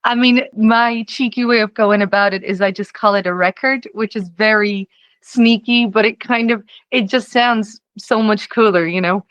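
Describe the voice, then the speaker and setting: A woman's voice: mid-range voice, fairly even intonation, moderate pace, fairly bright tone, dry recording. A young woman from Czechia, a singer-songwriter guest, talking casually in an interview, rambling with lots of "you know" and easy laughs.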